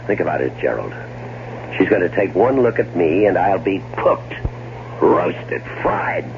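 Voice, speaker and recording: Radio drama: bitter, scheming American man, fairly low, theatrical, on a noisy old broadcast. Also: big pitch swings, measured pace, gravelly, dull audio, exaggerated voice. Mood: angry, sarcastic. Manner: theatrical, sarcastic, stammers when cornered. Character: scheming, irritable man, bitterly sarcastic older-brother type.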